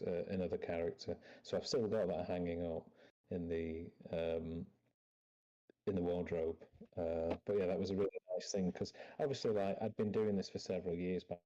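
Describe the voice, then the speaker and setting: A man's voice: deep voice, mellow, highs cut off. A man who is a podcast guest, telling stories in a modest, self-deprecating way with frequent hesitations. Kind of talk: casual storytelling.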